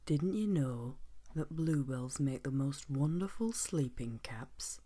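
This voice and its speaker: A woman's voice: very deep voice, animated, slow, dark tone, full character voice. A woman voicing a kindly, cheerful little male woodland gnome in a whispered bedtime story. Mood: gentle. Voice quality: breathy whisper.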